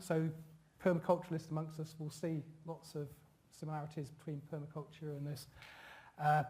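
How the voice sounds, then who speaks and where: A man giving a talk to an audience about planning, pausing often with fillers and restarting phrases. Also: fairly high pitch. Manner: halting, many fillers and restarts. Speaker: conference speaker. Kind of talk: presenting a talk with slides.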